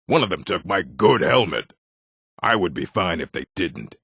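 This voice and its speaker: Very deep gravelly gruff orc. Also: male voice, very animated, moderate pace, a bit bright, dry recording, studio quality, heavily acted. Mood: dry, irritable. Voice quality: gravelly, husky. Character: gruff male orc, stern bookish keeper and warrior. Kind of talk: video game character voice acting.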